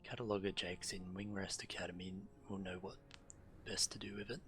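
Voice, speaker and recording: A man streaming a game, reading quest text aloud in a measured way, now and then stumbling and correcting himself. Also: deep voice, steady tone, sharp tone, a little hiss or hum. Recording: game music behind the voice. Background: video game music.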